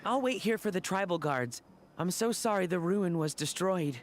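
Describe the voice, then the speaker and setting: A woman's voice: lowish voice, expressive, normal speed, slight background noise. A woman voicing a timid, apologetic young employee in a video game, with a hesitant, meek manner.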